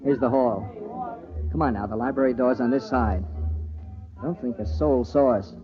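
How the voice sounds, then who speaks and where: An actor playing a jovial party host with a secret lover, in theatrical old-time radio style. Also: male voice, mid-range voice, normal speed, natural voice. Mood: furtive, urgent. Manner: theatrical mock-pompous speechmaking, hushed urgency in private. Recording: dull old radio recording, organ music behind.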